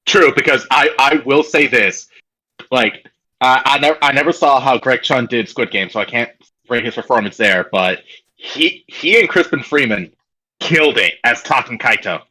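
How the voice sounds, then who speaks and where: A man's voice: mid-range voice, moderate pace, pushed and bright, rough recording. A man co-hosting a podcast in casual chat, often repeating his phrases for emphasis. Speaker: podcast co-host.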